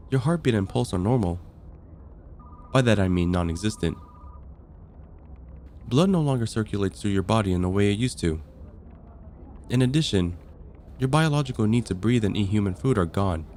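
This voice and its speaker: A man playing a composed, matter-of-fact vampire counselor in an ASMR roleplay, speaking in a measured, clinical way. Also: fairly low pitch, animated, dark tone, slight background noise, lightly acted. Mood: clinical, reassuring.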